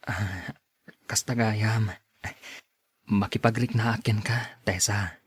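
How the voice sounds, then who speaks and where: Radio drama romantic lead played by a man: courteous, earnest young Ilocano man, slightly husky mid voice, dry sound. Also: lowish voice, steady tone, slow, no room sound, exaggerated voice. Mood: sincere, gentle.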